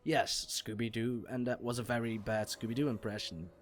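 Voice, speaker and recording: A young man from Belgium, an English speaker, doing jokey solo commentary on a game replay and getting loud on exciting plays. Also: mid-range voice, normal speed. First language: not English. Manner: jokey, self-mocking, rises sharply on exciting plays. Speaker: esports shoutcaster.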